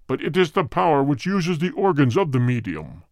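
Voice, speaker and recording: A man acts out a spirit of the dead from a ghost story, deep and cold. The delivery is grave and formal, each phrase measured and hard. The pitch still swings within the solemn lines, and the sound is dry, with no room around it. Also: sing-song, no room sound. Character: solemn spirit of the dead speaking through a medium.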